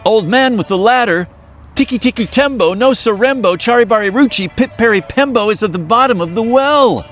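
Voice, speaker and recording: A man's voice: high-pitched, lively intonation, a little fast, a bit bright, faint music behind, pitched above the performer's own voice. A man voicing a small, earnest boy who is out of breath and panting, reading a storybook aloud. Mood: shouting.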